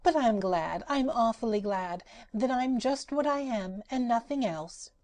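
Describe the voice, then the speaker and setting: A woman's voice: on the high side, animated, measured pace, a little mellow, pitched above the performer's own voice. A woman voicing a giddy, boastful female patchwork rag doll who chants sing-song rhymes. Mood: gleeful.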